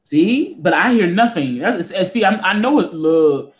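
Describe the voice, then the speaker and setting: A woman speaking as a guest on a casual online call, defensive and quick with comebacks. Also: lowish voice, lively intonation. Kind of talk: casual chat. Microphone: online call audio.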